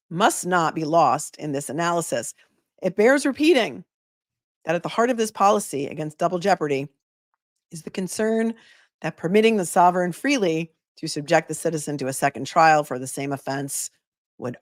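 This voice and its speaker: A woman attorney hosting a channel, hoarse from a long read, reading a legal brief aloud in a measured, emphatic way and then chatting casually with a guest. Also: deep voice, no echo, studio quality.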